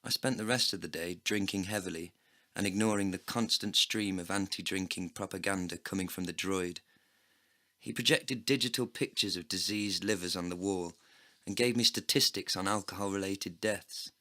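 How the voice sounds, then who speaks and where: An actor playing a bitter, heartbroken, foul-mouthed man, narrating a scripted comedy audio play in a sardonic, deadpan way. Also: deep voice, moderate pace, no room sound, studio quality. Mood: bitter, wry.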